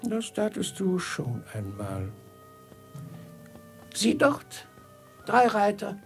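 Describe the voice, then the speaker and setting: An actor playing a fatalistic, knowing beggar sage in a 1950s radio drama, with creaky voice and measured, cryptic delivery. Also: male voice, sits high, wildly swooping pitch, soft background music, exaggerated voice. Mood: ominous.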